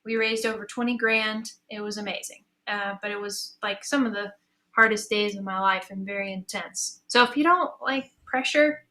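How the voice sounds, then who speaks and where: A woman's voice: medium pitch, moderate pace, sharp tone. A woman speaking casually and earnestly on a panel as an audio drama creator, with an encouraging manner.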